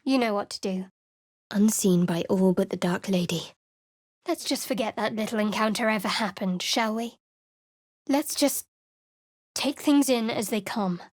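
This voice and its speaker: A woman voicing a guarded young female cleric of a dark goddess in a video game, cool, reserved and wry, whispering her prayers close to the mic. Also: mid-range voice, measured pace, pauses often, no echo, natural voice. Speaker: game companion character. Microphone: close studio mic.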